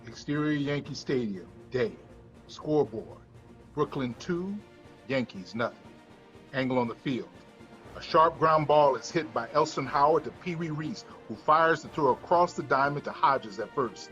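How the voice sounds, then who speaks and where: Male narrator, mid pitch, reads stage directions, dull recording with faint music. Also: animated, moderate pace, a bit bright. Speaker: screenplay narrator. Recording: dull lo-fi sound, music behind.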